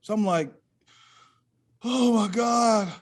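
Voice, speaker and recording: A male comedian with a high-pitched voice talks as a podcast guest. He tells things in a loose, comic storytelling style, animatedly voicing bits of dialogue as he goes. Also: slow. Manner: animated, acts out quoted dialogue.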